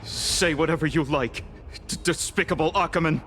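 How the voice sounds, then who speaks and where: A man's voice: a little high, normal speed, a bit bright, a little hiss or hum, lightly acted. A man voicing an earnest, defiant soldier bent on vengeance in a fantasy game, impassioned and formal. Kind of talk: fantasy video game acting.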